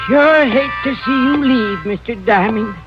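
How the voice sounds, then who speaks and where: An actor playing a rustic, good-natured but bossy old prospector, talking in short folksy phrases in a radio drama. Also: male voice, very high voice, a little slow. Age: older. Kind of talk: 1950s radio drama acting. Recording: muffled old radio recording with music.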